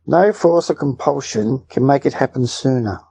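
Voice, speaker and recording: A man's voice: mid-range voice, lively intonation, moderate pace, gravelly, warm tone, husky, no echo. A man reading scripted lesson passages aloud on a dull, lo-fi recording. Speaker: reader of English lesson passages. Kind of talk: scripted narration, read aloud.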